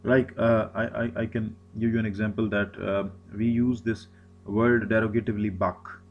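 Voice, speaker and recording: A man's voice: fairly low pitch, level tone, moderate pace, dull audio, a little hiss or hum. A man, an Urdu speaker, giving a recorded lecture, often repeating himself and restarting phrases. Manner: repetitive, many restarts and fillers.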